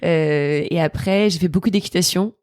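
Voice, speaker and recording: A woman's voice: lowish voice, normal speed, darkish tone, no room sound, clean studio sound. A French woman in her thirties, a guest chatting casually in an interview, hesitant with many fillers and frequent laughs. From France. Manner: hesitant, lots of fillers and restarts, laughs often. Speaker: podcast guest.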